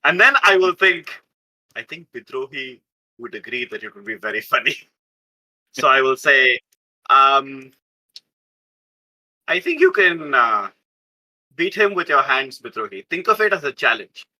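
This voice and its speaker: A man playing a tabletop game, chatting casually and haltingly with asides spoken in character. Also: mid-range voice, sing-song, sharp tone, low-quality recording.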